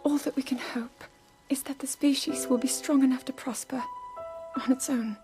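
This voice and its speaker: A young woman playing an earnest, scholarly princess in a video game cutscene, speaking with precise, formal and expressive diction. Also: high-pitched, normal speed, music in the background, close to an everyday voice. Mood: wistful. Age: young adult.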